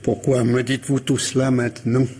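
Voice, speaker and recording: An actor in a French radio mystery drama playing a composed, courteous police commissioner who questions formally and patiently. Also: male voice, mid-range voice, little pitch movement, brisk, warm tone, breathy edge, natural voice. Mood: puzzled.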